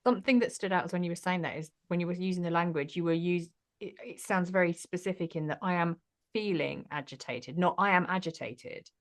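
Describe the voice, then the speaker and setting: A woman's voice: fairly low pitch, fairly quick. A woman hosting a podcast who thoughtfully sums up what her guest says. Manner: thoughtful, summarizing back. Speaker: podcast host. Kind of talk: podcast conversation.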